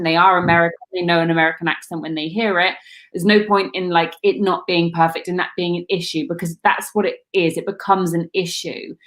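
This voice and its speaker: A British woman working as a casting director, talking candidly in a casual Q&A with many "like"s and restarts. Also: on the low side. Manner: candid, rambling, lots of "like". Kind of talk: casual Q&A chat.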